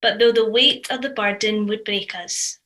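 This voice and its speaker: Female reader, clear-cut bright tone, mid pitch, scripted devotional piece. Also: steady tone, normal speed.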